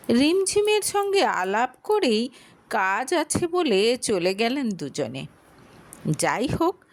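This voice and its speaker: A woman narrating a romantic audio story, reading slowly and expressively. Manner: slow, expressive reading with lively pitch.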